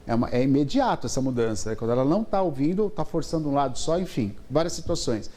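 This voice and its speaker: A man's voice: mid-range voice, expressive, fast talker, dark tone. A man with a Brazilian Portuguese accent hosting a casual TV interview in a studio, chatty and full of "né?" and backchannel murmurs. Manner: chatty, frequent "né?" and "uhum" backchannels. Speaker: TV host.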